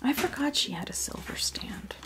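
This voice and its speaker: A woman chatting in a breathy, creaky whisper for an ASMR unboxing video, rambling hesitantly through little asides as the host. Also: high voice, expressive, normal speed, low-quality recording. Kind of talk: ASMR, soft rambling chat. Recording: music playing behind the voice. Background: clear background music.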